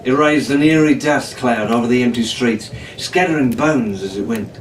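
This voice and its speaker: A man's voice: mid-range voice, normal speed. A man reading an adventure story aloud as scripted audiobook narration. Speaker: audiobook reader. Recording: echoey room, distant mic, faint hiss.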